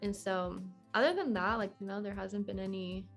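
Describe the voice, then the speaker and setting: A woman's voice: mid-range voice, normal speed, gravelly. Young woman chatting informally over faint music. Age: 20s. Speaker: podcast guest. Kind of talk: casual conversational interview.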